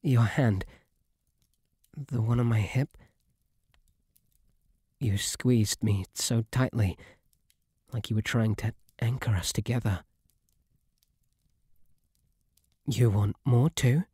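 A man with a British accent voicing a shy, devoted, submissive bunny butler in an intimate ASMR roleplay, soft, breathy, halting and deferential. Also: mid-range voice, no echo, studio quality. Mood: bashful longing.

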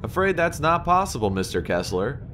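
A man's voice: on the high side, sing-song, normal speed, a bit bright, slight background noise. A man voicing a polite, formal male train concierge in a fan comic dub, courteous and a bit flustered.

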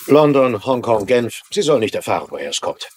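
A man's voice: medium pitch, very animated, fairly quick, a little mellow, a little hiss or hum, lightly acted. A man playing a curt, dismissive business tycoon in a dubbed TV crime drama, formal and brusque.

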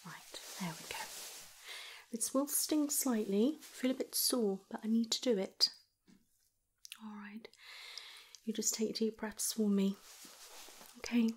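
A woman whispers softly and slowly through an ASMR first-aid roleplay, reassuring and gently shushing as she works. A faint hiss sits under her voice. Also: fairly high pitch. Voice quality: soft whispering. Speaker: carer tending a child's graze.

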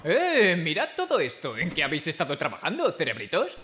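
A male actor plays a brash young cartoon mercenary with an accent from Spain. The delivery is slangy and excitable, with wildly varied pitch. Light music sits behind the voice. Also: a little fast, full character voice. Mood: cocky. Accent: Spain Spanish. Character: brash, cocky young mercenary scout, awkward around his crush. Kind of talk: Spanish fan dub of an animated game short.

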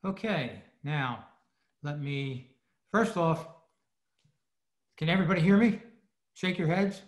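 A man's voice: on the high side, lively intonation, slow pace. An older man giving an informal prepared presentation over a video call from indoors. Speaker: presenter at a club meeting. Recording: roomy, echoing video call audio.

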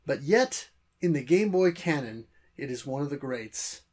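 A man's voice: mid-range voice, animated, measured pace, a little mellow. A middle-aged man hosting a video game review, narrating from a script in a wordy, theatrically formal way.

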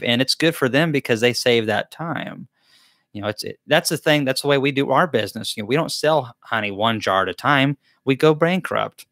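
A man's voice: mid-range voice, a bit bright. A man in his thirties with a drawl talks into a close desk mic in a small wood-paneled room. He rambles chattily and restarts his sentences often. The sound is dry, with no room echo. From Tennessee, US. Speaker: beekeeping channel host. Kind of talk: live Q&A chat. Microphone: desk mic close to camera.

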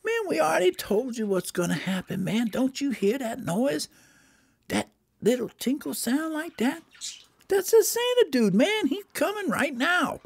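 A woman voicing an excitable, pushy little storybook bird who talks in street slang. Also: high voice, a little slow, vocal fry, pitched above the performer's own voice, full character voice. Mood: excited. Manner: slangy, says "man" constantly.

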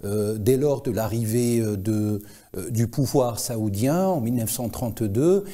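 A man in his forties giving explanations as an expert interview guest, with formal, hesitant, deliberate phrasing. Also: medium pitch, mellow. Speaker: guest expert, Islamic scholar. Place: TV studio, indoors.

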